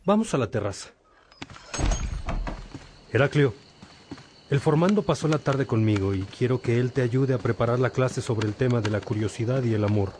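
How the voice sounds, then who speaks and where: An actor playing a calm, fatherly bishop who instructs a novice in a measured way in a religious radio drama. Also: medium pitch, noisy background, close to an everyday voice.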